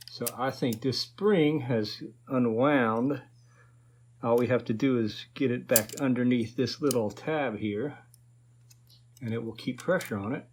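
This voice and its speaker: A man's voice: mid-range voice, darkish tone, a little hiss or hum. A man in his fifties casually narrating a repair to viewers, thinking aloud in a folksy way. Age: 50s. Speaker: host of a repair video channel.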